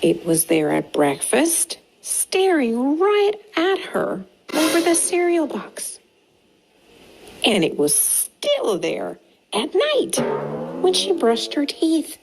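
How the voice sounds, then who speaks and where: A woman reading a picture book aloud to young children in an expressive, deliberate way with dramatic pauses. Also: sits high, over music, poor audio. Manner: slow, deliberate, animated storytelling with dramatic pauses. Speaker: storytime reader for young children. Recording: clearly audible background noise.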